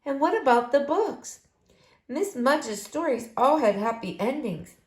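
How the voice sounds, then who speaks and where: A woman reading a children's story aloud in an expressive, deliberate, dramatic way. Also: sits high. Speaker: storybook reader. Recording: roomy, echoing room sound.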